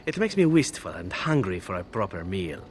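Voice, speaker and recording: An actor playing a smooth-talking, flirtatious assassin with flowery, courtly phrasing in a video game. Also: male voice, low-pitched, big pitch swings, fairly quick, a little hiss or hum. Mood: flirtatious, charming. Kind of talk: video game dialogue acting.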